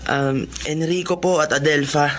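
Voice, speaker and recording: An actor playing a polite, principled young working-class student, respectful and earnest, in a Filipino drama. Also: male voice, a little high, steady tone, moderate pace, a bit bright, close to an everyday voice. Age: young adult. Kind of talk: Filipino radio-style drama acting.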